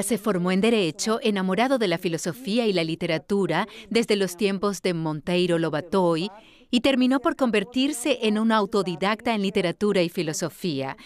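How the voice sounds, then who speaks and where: A woman's voice: mid-range voice, lightly acted. A woman voice actor dubbing an attentive, literate interviewer who asks and sums up in an orderly, articulate way. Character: attentive, literate interviewer summing up a guest's life. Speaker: dubbed interviewer. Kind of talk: scripted documentary interview dub.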